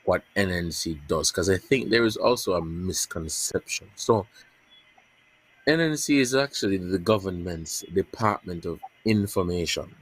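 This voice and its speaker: Male journalist guest: very low, breathy-edged voice; measured answers with frequent restarts. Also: lively intonation, dry recording, poor audio. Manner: measured, repeats words while searching for them. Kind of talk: earnest interview answers.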